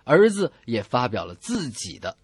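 A man with a husky voice and middling pitch narrates jokes as a radio comedy host. His delivery is animated, with big swings in pitch, recorded close and dry. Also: moderate pace, dry recording. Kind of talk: animated joke narration and banter.

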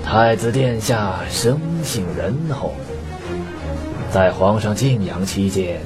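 A man's voice: fairly low pitch, slow. A man voicing an arrogant, scheming elderly imperial father-in-law in a theatrical Chinese costume radio drama. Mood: smug. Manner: sneering, theatrical. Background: background music.

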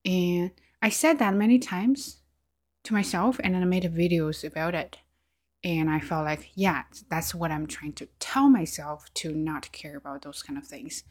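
A woman from China with a Chinese accent, an English teacher talking through personal thoughts in a soft, hesitant way with many fillers and restarts. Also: on the low side, normal speed. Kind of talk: reflective personal monologue.

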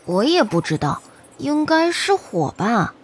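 A woman's voice: on the high side, sing-song, measured pace, breathy edge, full character voice. A woman playing a curious, eager little boy, an older brother, in an audio drama, speaking in a childlike manner. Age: child character.

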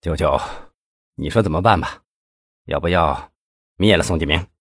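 A man's voice: a bass voice, moderate pace, breathy edge, no echo, clean studio sound, voice pushed down, heavily acted. A man voicing a scheming younger man, nervous yet ruthless, with a whiny, pleading manner.

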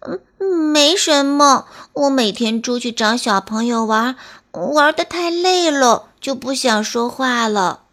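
A woman's voice: high-pitched, animated, slow, a bit bright, full character voice. A woman voicing a small, frightened young squirrel boy who stammers and sounds close to tears. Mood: evasive. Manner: stammering, tearful.

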